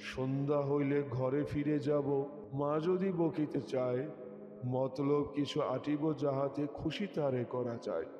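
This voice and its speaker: A man's voice: mid-range voice, fairly even intonation, unhurried, mellow. Man reciting poetry in long reverb. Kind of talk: expressive Bengali poem recitation. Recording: dull, echoey with long reverb. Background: music.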